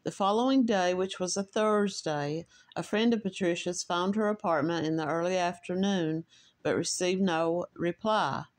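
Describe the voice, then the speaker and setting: A woman with an Appalachian accent reading a true crime story in a measured, slightly halting way, stopping to share her own thoughts. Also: medium pitch. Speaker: true crime podcast host.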